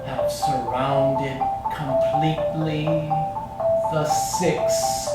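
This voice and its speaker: A man acting a solemn narrator in an avant-garde stage play, reciting a ritual in deliberate, halting, incantatory phrases. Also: mid-range voice, steady tone. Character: solemn male narrator chanting a ritual rant. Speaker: stage actor. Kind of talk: slow theatrical incantatory recitation. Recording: echoing stage, distant mic, music behind. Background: mallet percussion music.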